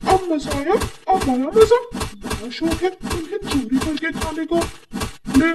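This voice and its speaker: A man voicing an excitable, feisty male parrot in a children's cartoon, with a squeaky voice. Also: a little slow, recorded from a distance, music in the background. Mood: angry, vengeful. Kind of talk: Hindi cartoon story acting.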